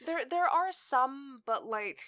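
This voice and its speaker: A woman's voice: high-pitched, lively intonation, slow pace, a bit bright. A woman in a casual chat as a guest, speaking with wry exasperation and casual swearing. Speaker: podcast guest and audio drama creator.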